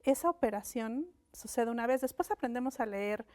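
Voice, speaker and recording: A woman whose first language is Mixe, a linguist and writer from Mexico, speaking as a guest in a thoughtful, unhurried interview on a studio set, with frequent fillers and restarts. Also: on the high side, animated, darkish tone. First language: Mixe (Ayuujk).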